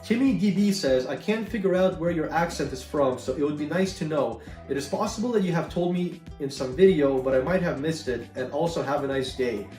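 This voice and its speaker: A man's voice: on the high side, brisk, hardly any pauses. Canadian-sounding guy, bit of slavic accent, easygoing q&a rambling, sits back from the mic in an echoey room. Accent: Canadian with a slight Slavic tinge. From Ukraine. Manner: relaxed and chatty, many fillers. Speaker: gaming YouTuber. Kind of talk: casual Q&A answering viewer questions. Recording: roomy echo, a bit far from mic, faint music.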